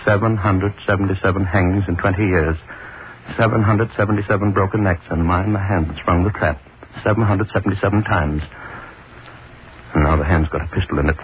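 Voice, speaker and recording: A man's voice: low-pitched, fairly even intonation, brisk, close to an everyday voice. A man playing a tormented hangman. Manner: tormented, brooding inner monologue. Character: haunted middle-aged male state executioner, desperate. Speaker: actor. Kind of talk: 1930s radio drama acting. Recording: tinny lo-fi 1930s radio recording with hiss.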